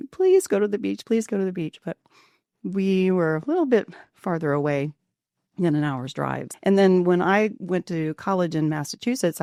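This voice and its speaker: A woman's voice: low-pitched, a little mellow, no echo, clean studio sound. A woman from Pennsylvania hosting a podcast, asking curious questions and laughing easily as she chats. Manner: friendly, curious interviewer who laughs often. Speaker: podcast host. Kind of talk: casual interview talk.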